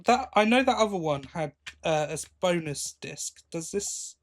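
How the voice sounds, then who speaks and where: A man's voice: high-pitched, lively intonation, moderate pace. A man co-hosting a podcast, chatting casually about news. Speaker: podcast co-host. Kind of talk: casual chat about sci-fi audio drama news.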